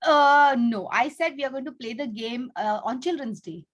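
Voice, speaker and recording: A woman's voice: sits high, expressive, normal speed. A woman with an Indian accent leading an online class for children, talking to them in an encouraging, instructive way over a video call. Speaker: teacher hosting an online kids' class. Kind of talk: interactive class talk to children.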